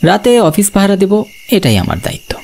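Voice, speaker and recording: Man playing expressive young village night watchman. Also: high-pitched, quick pace, gravelly, slight background noise, voice pushed up, heavily acted. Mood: resolute. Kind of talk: first-person horror storytelling.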